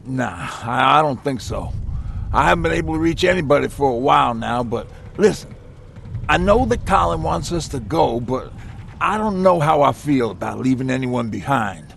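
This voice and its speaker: Creaky-voiced hesitant male survivor. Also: expressive, moderate pace, fairly bright tone. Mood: uneasy, earnest. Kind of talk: video game cutscene acting. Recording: faint rumbling noise behind.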